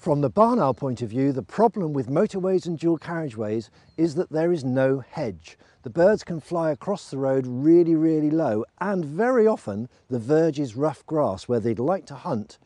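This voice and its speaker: A man's voice: on the high side, expressive, moderate pace, hardly any pauses, mellow, no echo. A British man in his forties presenting an explanatory nature talk outdoors, posing questions and then answering them. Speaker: wildlife educator and presenter. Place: outdoors on open farmland hillside.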